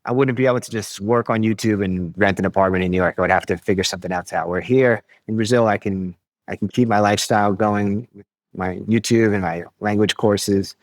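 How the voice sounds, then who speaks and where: American guy on a podcast, lowish voice, easygoing, stumbles and repeats words, dry sound. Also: brisk, no room sound. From United States. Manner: relaxed, repeats words while thinking. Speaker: podcast guest. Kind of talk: casual interview chat.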